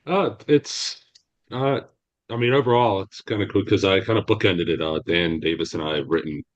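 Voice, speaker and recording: A man with a very deep, low voice answers interview questions as a guest author. He rambles and halts, restarting his sentences often. The audio sounds dull and lo-fi. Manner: rambling, halting, lots of restarts.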